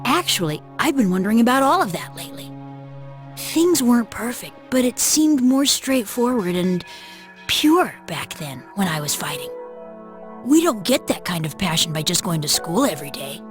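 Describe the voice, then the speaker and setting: An earnest, thoughtful boy from an anime game, played by a woman. He speaks politely, beyond his years, with lively rises and falls. Music plays clearly behind him. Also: mid-range voice, moderate pace, lightly acted. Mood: reflective. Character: earnest young boy, mature for his age. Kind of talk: anime video game voice acting.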